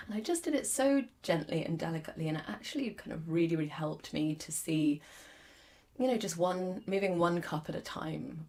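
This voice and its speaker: A woman in her forties hosting a podcast, hoarse as her lost voice comes back, talking candidly and reflectively with many fillers. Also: lowish voice, darkish tone. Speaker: podcast host. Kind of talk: solo podcast monologue.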